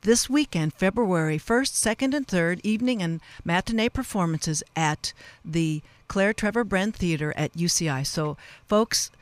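An American man, middle-aged or older, hosting a live radio interview in a halting, rambling way and addressing listeners in a folksy manner. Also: high-pitched, clean tone, no room sound. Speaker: radio show host. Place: radio station studio. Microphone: close studio radio microphone.

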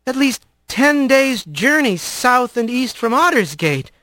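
A man's voice: extremely high pitch, very animated, takes it slowly, sharp tone, dry recording, studio quality, pitched above the performer's own voice, full character voice. A man voicing a small, plucky male rat in a storybook, folksy, polite to his betters but indignant. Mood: eager. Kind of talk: storybook character reading.

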